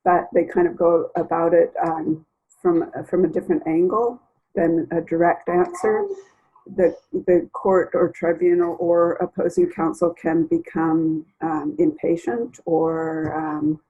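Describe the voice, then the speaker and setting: A woman lawyer with a husky voice, speaking reflectively with searching pauses. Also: fairly low pitch, little pitch movement, dark tone, lo-fi sound. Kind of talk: reflective interview.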